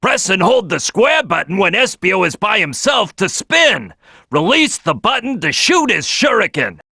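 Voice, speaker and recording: Brash gravelly cartoon crocodile, high-pitched and forceful. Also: male voice, big pitch swings, unhurried, no room sound, clean studio sound, exaggerated voice. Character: big brash loud male cartoon crocodile, team leader. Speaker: video game character giving gameplay tips. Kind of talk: animated game tutorial voice lines.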